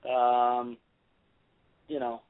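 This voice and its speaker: A male singer with a raspy voice, a guest in a casual interview, easygoing and laughing at himself. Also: medium pitch, monotone, drawn-out words. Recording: tinny phone-line sound.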